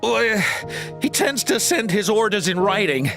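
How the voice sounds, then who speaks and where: Nervous high-pitched cowardly henchman. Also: lively intonation, measured pace, gravelly, fairly bright tone, soft background music, heavily acted. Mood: nervous, placating. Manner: stammering, nervous. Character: cowardly male poacher henchman, panicky and grovelling. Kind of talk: video game voice acting.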